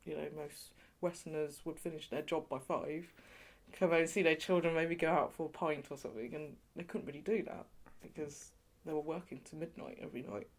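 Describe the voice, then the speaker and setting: A woman's voice: low-pitched, steady tone, fairly quick, few pauses. A woman from England being interviewed, talking in a chatty way with lots of "you know" and light laughs. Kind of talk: oral history interview.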